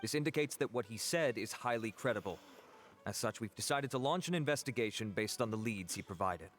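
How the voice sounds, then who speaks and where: Man as a formal officer, mid-pitched. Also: expressive, fairly quick, fairly bright tone, soft background music, natural voice. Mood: official. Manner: formal, businesslike. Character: dutiful, formal male investigating officer. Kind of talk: video game voice acting.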